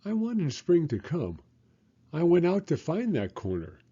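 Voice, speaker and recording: Man as a kindly cheerful frog, lilting earnest storyteller, very animated pitch, husky edge, children's storybook. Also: a little slow, muffled recording, full character voice. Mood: earnest reminiscing. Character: cheerful, kindly male frog reminiscing to his friend.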